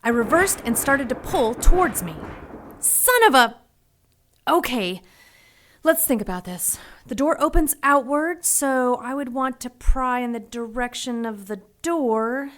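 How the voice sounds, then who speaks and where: A woman's voice: high-pitched, expressive, a little slow, fairly bright tone. A woman playing a plucky, sassy 1940s heroine who narrates her adventure in an audio drama with brash, wry slang. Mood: wry, tense.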